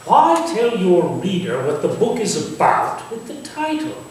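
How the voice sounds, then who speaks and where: A man acts out an urbane Victorian English gentleman of title in a stage thriller. His voice is fairly high and swings widely as he teases and probes with theatrical precision. It carries through a very echoey room with some noise. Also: very animated, measured pace. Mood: dry, probing. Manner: articulate, theatrical, dryly probing. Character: urbane, teasing Victorian English nobleman, proud of his name. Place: indoor stage at a staged reading. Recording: very echoey room, distant mic, hissy noise.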